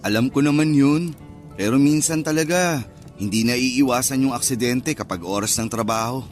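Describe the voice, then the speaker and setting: A man's voice: mid-range voice, normal speed, faint music behind, close to an everyday voice. An actor playing a superstitious, easily spooked young man chatting in slang in a radio drama. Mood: casual. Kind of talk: radio drama dialogue, casual banter.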